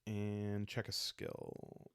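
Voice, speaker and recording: A man calmly thinking aloud in an unhurried, casual way as he plays and narrates a solo roleplaying game. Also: lowish voice, creaky, clear, resonant tone, no room sound, studio quality. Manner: calm, unhurried, musing, meant for relaxed listening. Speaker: host and solo player of a journaling roleplaying game.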